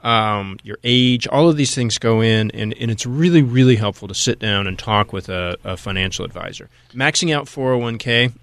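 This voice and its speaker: Lower-voiced man giving radio advice. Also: normal speed, hardly any pauses, fairly bright tone, no room sound. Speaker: radio co-host, attorney.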